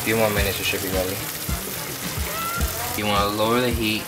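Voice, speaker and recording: A Puerto Rican man hosting a home cooking show, walking through a recipe step by step in a relaxed, chatty way. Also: fairly low pitch, brisk. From Puerto Rico. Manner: laid-back, chatty step-by-step instructions. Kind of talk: casual cooking demonstration. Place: home kitchen. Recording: distant mic under loud music.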